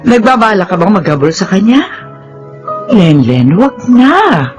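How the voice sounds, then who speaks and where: A woman's voice: mid-range voice, very animated, unhurried, highs cut off, faint music behind. A woman with a Filipino accent playing an anguished, scolding middle-aged mother in a radio drama. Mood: shocked, scolding.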